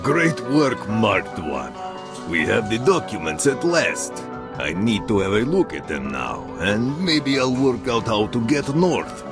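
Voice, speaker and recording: A businesslike bar boss in a video game. His pitch is fairly low but swings a lot, and he talks in a familiar way. Loud music plays behind him. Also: sing-song, a little slow. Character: businesslike bar owner and quest giver.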